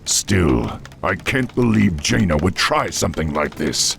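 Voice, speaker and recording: Dignified orc warlord, deep rough voice, formal, measured, noble, fantasy game. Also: male voice, very animated, fairly bright tone, a little hiss or hum, exaggerated voice. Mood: regretful, resolute. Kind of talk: fantasy video game voice acting.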